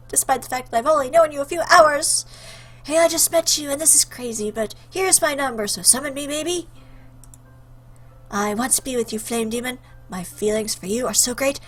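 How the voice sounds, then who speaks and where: A woman's voice: on the high side, fairly bright tone, breathy edge. A woman hosting a game playthrough, commenting casually and playfully and reading lines aloud. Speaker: gaming video host.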